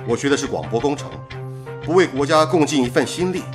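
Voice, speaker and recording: A man's voice: medium pitch, quick pace, music in the background, close to an everyday voice. An actor playing a dedicated young male radio engineer in a period radio drama, speaking earnestly and steadily. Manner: earnest, steady, gently persuasive. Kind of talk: 1930s-set radio drama acting.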